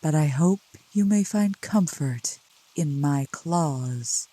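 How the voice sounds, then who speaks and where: A woman voicing a gentle, teasing, possessive female demon of the woods in a soft, low ASMR roleplay, with tender, formal wording. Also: animated, takes it slowly, full character voice. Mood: solemn, tender. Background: rain.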